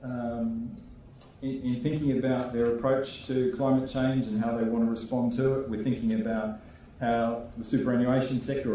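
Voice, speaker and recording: A man, a regulator answering questions on a conference panel in a measured way, thinking aloud with restarts. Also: mid-range voice, warm tone, slight background noise. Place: conference hall. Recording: echoey hall, voice from far off.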